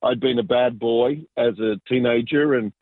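A man, telling his story as an interview guest in a reflective, halting way full of fillers and restarts. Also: mid-range voice, dry recording. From Argentina, raised in Sydney. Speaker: guest, business pastor. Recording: dry, phone-like narrow sound.

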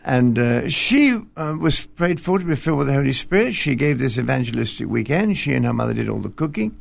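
An older man from Scotland, a retired bishop, talking gently and self-deprecatingly as a studio interview guest, with fillers and pauses. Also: mid-range voice, very animated, gravelly, dry recording. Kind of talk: relaxed interview conversation. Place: television studio.

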